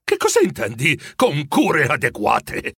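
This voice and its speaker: A man's voice: fairly high pitch, wildly swooping pitch, unhurried, gravelly, fairly bright tone, slightly rough, no room sound, clean studio sound, full character voice. A man playing an anxious old miser who speaks hesitantly, in a storybook reading. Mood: alarmed. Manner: hesitant, mumbling, pleading.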